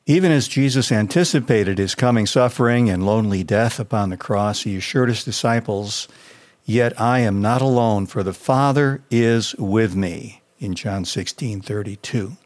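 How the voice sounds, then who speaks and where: A man with a fairly low voice and an American accent reads a scripted devotional as a pastor. His delivery is that of a prepared reflection on scripture, spoken directly to the listener. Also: normal speed, a little mellow.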